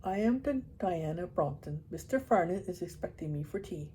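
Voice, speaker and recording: A woman reading a story and voicing a poised, well-bred young woman who speaks crisply and precisely. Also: fairly low pitch, animated, normal speed, warm tone, a little hiss or hum, natural voice. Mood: cool, fixed.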